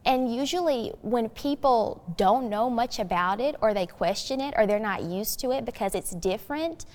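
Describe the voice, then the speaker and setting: A woman's voice: on the high side, lively intonation, moderate pace. A teenage girl giving thoughtful discussion as a youth guest, speaking in an articulate, earnest, explanatory way. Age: teens. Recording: long hollow room reverb.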